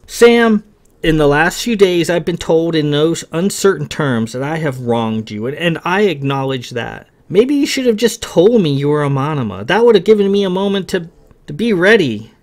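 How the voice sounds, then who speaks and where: A man's voice: on the high side, lively intonation, normal speed, pitched above the performer's own voice, lightly acted. A man reading a story, voicing a young man who pleads and apologizes in raised, stumbling bursts. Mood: pleading.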